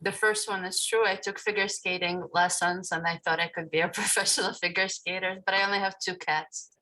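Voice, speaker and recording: A woman from Brooklyn, chatting in a relaxed way on a video call. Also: fairly low pitch, brisk, low-quality recording. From Brooklyn, New York. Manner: relaxed, laughing. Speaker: participant.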